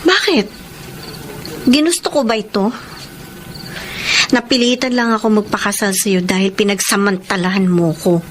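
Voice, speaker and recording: A woman's voice: medium pitch, moderate pace, pauses often, noisy background, lightly acted. A woman playing a resentful, ambitious young wife and student in a Filipino radio drama. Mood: defensive, resentful.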